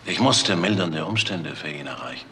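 Deep, forceful male lawyer. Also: lively intonation, moderate pace, a little hiss or hum. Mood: guarded. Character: stern, indignant male defence lawyer. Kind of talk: German crime radio drama acting.

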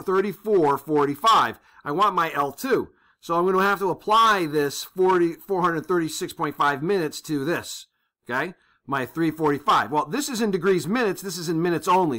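A man's voice: fairly high pitch, bright, forceful tone. A man giving an informal step-by-step tutorial as an instructor, thinking aloud and often checking in with a short confirming word. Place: indoors at a desk.

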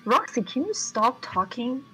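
A woman's voice: a little high, big pitch swings, unhurried, a crowd in the background, rough recording. A woman voicing a nosy animatronic chicken lady in a comedic game fandub, calling out querulously. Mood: searching.

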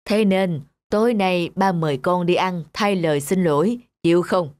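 A woman voicing a middle-aged father in an animated moral tale, patient, protective and earnest. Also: on the low side, normal speed, slight background noise, natural voice. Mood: conciliatory.

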